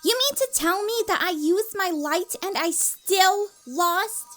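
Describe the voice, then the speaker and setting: Excitable, very high-pitched little filly. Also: female voice, slow, soft background music, heavily acted. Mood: indignant. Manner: exclaiming, childlike. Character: excitable little cartoon pony fillies, young girls, brash.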